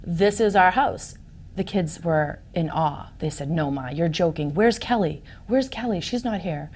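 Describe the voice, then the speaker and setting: A woman's voice: on the low side, measured pace, darkish tone, a little hiss or hum. A woman in her forties whose first language is Portuguese, talking as a real estate agent in an interview, upbeat and emphatic. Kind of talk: interview conversation.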